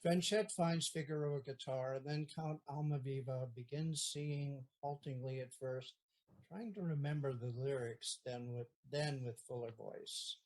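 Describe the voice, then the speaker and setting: Plain narrator reading stage directions. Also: male voice, medium pitch, fairly even intonation, moderate pace, darkish tone, low-quality recording.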